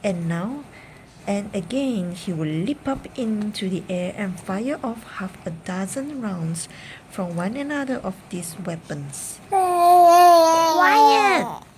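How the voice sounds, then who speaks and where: A woman reading a children's bedtime story aloud in an expressive narrating style. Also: mid-range voice, a little slow, low-quality recording. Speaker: storybook reader. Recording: clear background noise.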